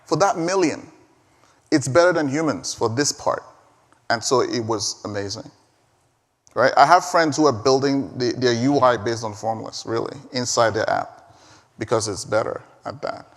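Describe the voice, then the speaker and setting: An energetic man whose first language is Igbo speaks on stage at a conference in an ordinary mid-range voice. He is emphatic and keeps doubling back on his words. His voice carries a long reverb from a large hall. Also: medium pitch, moderate pace. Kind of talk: live keynote talk and Q&A.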